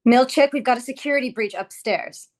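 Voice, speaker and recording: A woman's voice: a little high, fairly quick, lightly acted. A woman in a script table read, playing an urgent, commanding female boss in a thriller. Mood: alarmed, demanding.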